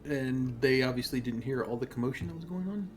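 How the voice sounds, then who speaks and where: A man's voice: medium pitch, fairly quick, soft background music. A man playing a tabletop roleplaying game, talking casually as he describes what his character does.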